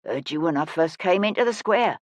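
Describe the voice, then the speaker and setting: A woman's voice: on the low side, expressive, brisk, no echo. A woman voicing a blind woman in her sixties, a folksy, feisty but kindly street musician in an audiobook. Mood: tender. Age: 60s. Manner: folksy, plain-spoken, feisty.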